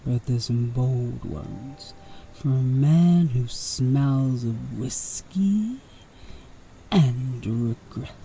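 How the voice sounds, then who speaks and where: Man voicing a very slow, smug snake outlaw. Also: medium pitch, lively intonation, dark tone, slight background noise. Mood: mocking, menacing. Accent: American Southern drawl, Western style. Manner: slow, taunting, mock-sweet. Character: menacing, smug snake-like Western outlaw villain. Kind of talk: Western audio roleplay acting.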